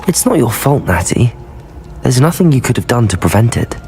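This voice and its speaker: A man's voice: mid-range voice, big pitch swings, normal speed, a little mellow. A man playing a loyal, reassuring teenage wizard hero in video game dialogue, with music behind him. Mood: sympathetic. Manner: polite, sincere, reassuring. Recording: music under the voice.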